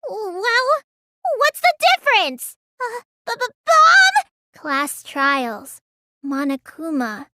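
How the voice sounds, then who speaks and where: Game dub role: childlike teenage girl magician, lazy and gullible, whiny drawl, slow delivery, very dry close sound. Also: very high voice, wildly swooping pitch, pushed and bright, no echo, full character voice. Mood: panicked, then subdued, serious. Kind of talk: English video game dub voice acting.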